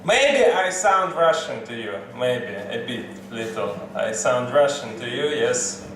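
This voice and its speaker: A man from the United States doing a stand-up set, halting and self-mocking as he chuckles between lines. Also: medium pitch, very animated, far from the mic, background noise. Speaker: stand-up comedian. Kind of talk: stand-up set at an open mic. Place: comedy club stage. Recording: echoey live room from afar.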